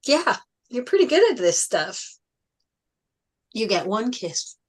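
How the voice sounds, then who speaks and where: A woman's voice: fairly high pitch, very animated, takes it slowly, slightly rough, exaggerated voice. A woman reading as an eager, affectionate young boy in an earnest manner at a script table read. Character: eager, vain, affectionate young boy talking to his mom.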